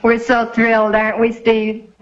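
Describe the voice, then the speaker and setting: A woman's voice: on the high side, monotone, normal speed, lightly acted. An American actress playing a gracious, elegant young society lady in an old TV western. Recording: dull, noisy vintage TV soundtrack.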